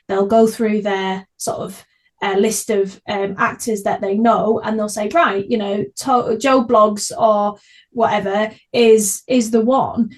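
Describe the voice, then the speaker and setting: A woman hosting a casual podcast interview, speaking in an informal, enthusiastic way. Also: mid-range voice. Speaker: podcast host.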